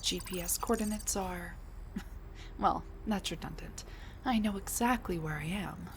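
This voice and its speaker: A woman's voice: on the low side, a little slow, slight background noise. A woman playing a flustered time-traveling scientist wife. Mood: muttering, checking. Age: middle-aged. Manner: stammering, flustered, many fillers and restarts; hushed muttering to herself. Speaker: audio roleplay performer. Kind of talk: audio roleplay drama.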